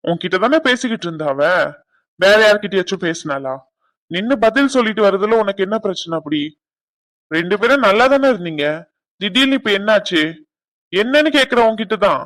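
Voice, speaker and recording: A woman voicing a grandmother who gently coaxes and questions her granddaughter. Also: mid-range voice, lively intonation, fairly quick, long pauses, voice pushed down, lightly acted. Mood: reproachful. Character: soft probing grandmother.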